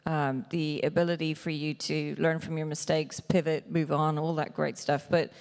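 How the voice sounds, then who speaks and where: Panelist, forties, woman; candid, lively, low-ish; hall mic with long reverb. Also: normal speed. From Ireland. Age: 40s. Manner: frank, laughs often, animated. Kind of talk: panel discussion. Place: large conference hall stage. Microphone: handheld mic.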